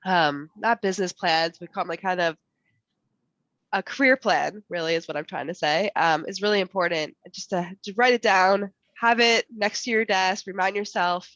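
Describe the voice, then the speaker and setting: A woman's voice: on the low side, fairly bright tone, no echo. Woman with a cold, dull dry call audio. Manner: halting, many fillers and restarts. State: fighting a cold, losing her voice. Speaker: panelist. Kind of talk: casual panel advice. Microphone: video call.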